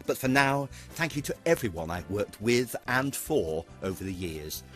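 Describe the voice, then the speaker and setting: A middle-aged British man speaks in a fairly low voice that moves up and down expressively. He delivers a scripted, reflective narration as a seasoned stage performer, with light music underneath. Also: very animated, normal speed, fairly bright tone. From United Kingdom. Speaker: veteran pantomime performer and presenter.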